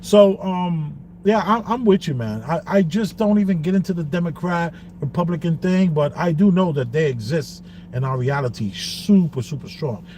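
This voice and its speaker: Man, podcast co-host, fairly high voice, casual chat, animated, steady background noise. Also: moderate pace, hardly any pauses. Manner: animated, acts out quotes in other voices. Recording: noisy background.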